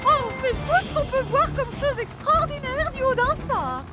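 A woman's voice: extremely high pitch, animated, normal speed. A prim, fretful lady. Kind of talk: French cartoon dub. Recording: studio voice with loud cartoon music.

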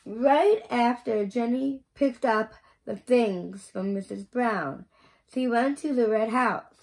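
A middle-aged woman reads a storybook aloud for children. Her voice sits a little high, and she goes very slowly, taking care over the words. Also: gravelly, darkish tone, rough recording. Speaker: storybook reader. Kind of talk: children's picture book read aloud. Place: small indoor bedroom.

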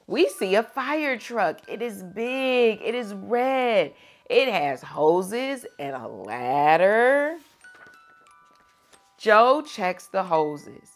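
Young woman, children's read-aloud host. Unhurried, teacherly, very animated intonation, music behind. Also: mid-range voice. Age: young adult. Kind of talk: expressive storybook read-aloud and chat to children. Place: small furnished room indoors.

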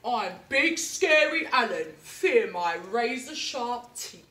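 Lady putting on a slow booming boastful alligator guy, roomy classroom sound. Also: sing-song, fairly bright tone, heavily acted. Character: boastful male alligator, growly and proud. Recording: roomy classroom, mic at a distance.

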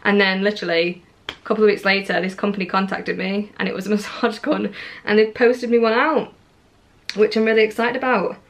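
A woman's voice: medium pitch, steady tone, a little fast, slight background noise. A young woman in her twenties, talking to camera at home in a casual, rambling vlog, full of likes and ums. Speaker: vlogger. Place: living room at home, indoors.

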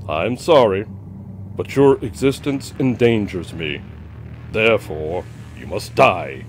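A man's voice: on the low side, takes it slowly, a little hiss or hum. A man voicing a paranoid middle-aged king who swings between frantic cries and anxious muttering.

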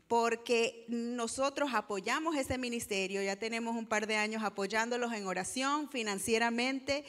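A woman with a fairly high voice speaks publicly from a church stage. She addresses the congregation in the role of host and interpreter, delivering each phrase for the people seated before her. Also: fairly bright tone.

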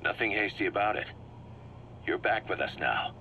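A man's voice: lowish voice, animated, moderate pace. A man playing a driven, shrewd mercenary commander in a video game, briefing his boss in an emphatic, assured way. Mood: assured, persuasive. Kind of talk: scripted video game dialogue, explaining plans. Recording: muffled cassette-like sound with background hum.

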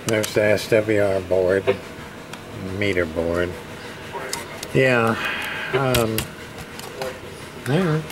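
A man giving a casual hands-on repair demonstration, thinking aloud in a hesitant, halting way as he goes. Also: fairly low pitch. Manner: hesitant, halting, muttering to himself. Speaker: hobbyist radio repair presenter. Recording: clear background room noise.

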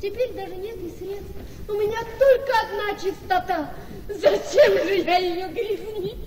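A virtuous, devoted young wife in a radio drama, with a very high voice and slow delivery. She pleads with theatrical passion, her words ringing in long reverb. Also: darkish tone. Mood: tearful pleading. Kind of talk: Russian radio play acting, theatrical. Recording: reverberant studio radio play with noise.

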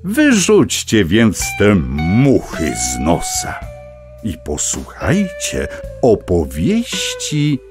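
An actor playing a wordy, old-fashioned storyteller who narrates a children's fable with pompous, digressive relish. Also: male voice, lowish voice, wildly swooping pitch, drawn-out words, over music, heavily acted. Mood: wry, musing. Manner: pompous, digressive, savoring each word.

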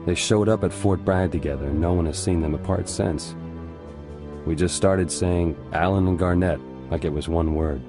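A man playing a reflective young American army squad leader, narrating in a measured, introspective way. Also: low voice, music in the background. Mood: somber, reflective.

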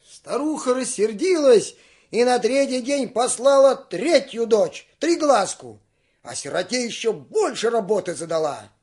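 A man narrating a folk fairy tale in an expressive, singsong storytelling manner. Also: very high-pitched, unhurried, slightly rough.

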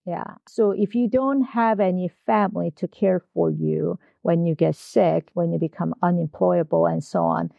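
A woman's voice: lowish voice, steady tone, moderate pace, vocal fry, hardly any pauses, no room sound, muffled recording. A woman professor answering interview questions, speaking in a hesitant way with many fillers and restarts. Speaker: guest scholar, history professor.

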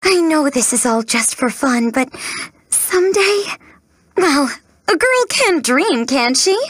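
Casting: a dreamy young princess bride for a game, high and lilting, earnest and romantic, dry studio sound. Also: female voice, big pitch swings, takes it slowly, no room sound, exaggerated voice. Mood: wistful. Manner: earnest, sweet, wistful. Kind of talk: video game character acting.

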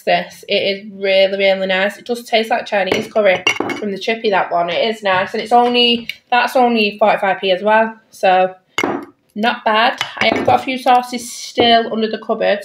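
A woman's voice: a little high, a bit bright. A British woman chatting casually and informally as a family vlogger, often repeating words for emphasis, recorded indoors at home. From Britain. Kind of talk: casual grocery haul chat to camera. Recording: clear background noise, packaging crinkling. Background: crinkling packets, clicks, handling noise.

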